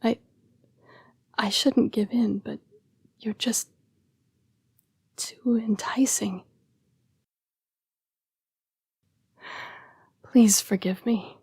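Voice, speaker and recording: A woman voicing an apologetic, protective yet predatory female vampire fighting her bloodlust in an intimate audio roleplay, with hushed, formal, halting speech. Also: fairly high pitch, fairly even intonation, no echo, heavily acted. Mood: tempted, apologetic. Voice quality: breathy, whispery. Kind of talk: intimate scripted audio roleplay addressed to the listener.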